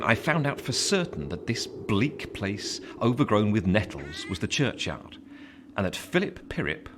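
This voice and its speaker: Lively, low-voiced English storyteller. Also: male voice. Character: grown man recounting his childhood, reflective storyteller. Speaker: narrator. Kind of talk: dramatic literary narration. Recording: faint background noise.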